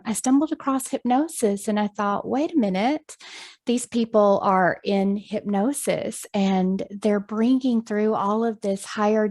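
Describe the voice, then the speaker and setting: A woman's voice: mid-range voice, a little mellow. Chatty woman from Tennessee. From United States. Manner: friendly, chatty, many ums, laughs easily. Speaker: guest, hypnosis practitioner. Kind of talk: video call interview.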